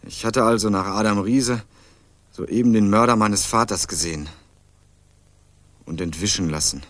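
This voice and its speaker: A man's voice: lowish voice, normal speed, a bit bright, no echo, close to an everyday voice. A young man narrating a radio crime drama in the first person, playing a dogged student turned amateur sleuth in a dry, ironic tone. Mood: wry. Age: young adult. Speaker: narrator and protagonist.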